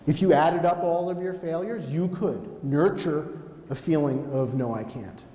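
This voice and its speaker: A middle-aged American man giving a conversational motivational talk on stage, telling stories in an animated manner. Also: on the high side, unhurried. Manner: animated, conversational, acts out dialogue. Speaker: keynote speaker. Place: on stage in an auditorium. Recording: echoey hall with background noise.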